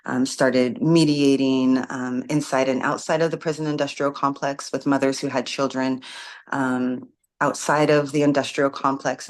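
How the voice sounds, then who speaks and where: Woman guest, community organizer; unhurried, reflective, filler-heavy delivery. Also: extremely low pitch. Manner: thoughtful, many ums and restarts. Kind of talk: casual interview conversation.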